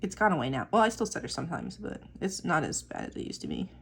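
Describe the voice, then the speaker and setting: A young woman with a husky, gravelly voice rambles quickly through casual chat as she works on a drawing. Her pitch sits a bit low but swings widely. She tosses in fillers and the odd swear. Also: wildly swooping pitch. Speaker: artist vlogger.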